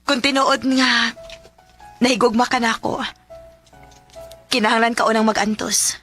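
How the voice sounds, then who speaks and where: An actress playing a coy young sweetheart and devoted wife in a radio melodrama, tender, with a Cebuano accent. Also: female voice, fairly high pitch, steady tone, normal speed, sharp tone, soft background music. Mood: coy, hesitant. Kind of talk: Philippine radio melodrama acting.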